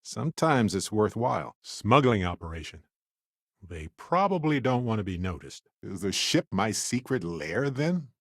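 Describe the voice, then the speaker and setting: A man playing a wry, warm-hearted middle-aged space explorer in a video game, conversational with earnest asides. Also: fairly low pitch, sing-song, a little slow, dry recording, clean studio sound, exaggerated voice. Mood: thoughtful, easygoing. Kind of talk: acted video game companion dialogue.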